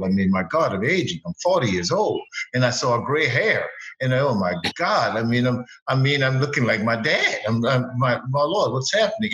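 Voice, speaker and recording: A man's voice: medium pitch, a bit bright, dull audio. A man in his seventies on a video call, telling his story animatedly with repetitions and exclamations. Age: 70s. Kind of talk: casual group video chat. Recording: echoey room.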